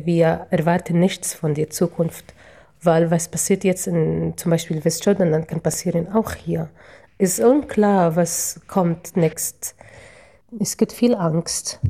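A young woman with an Arabic accent answers slowly and haltingly, pausing often to search for her words. Her voice is gravelly and dark, and her pitch stays flat. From Palestinian town in Israel. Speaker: interviewee, piano student. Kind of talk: interview answers.

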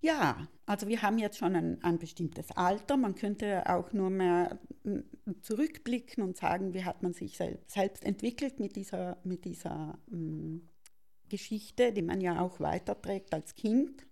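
Middle-aged South Tyrolean woman, creaky voice. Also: mid-range voice, unhurried, a little mellow. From South Tyrol, Italy. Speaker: interview guest. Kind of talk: reflective personal interview.

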